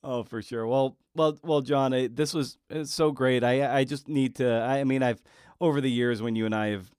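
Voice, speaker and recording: Low-voiced man hosting a casual podcast. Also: darkish tone, clean tone, no room sound, studio quality. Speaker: podcast host and interviewer. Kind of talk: casual podcast chat and monologue.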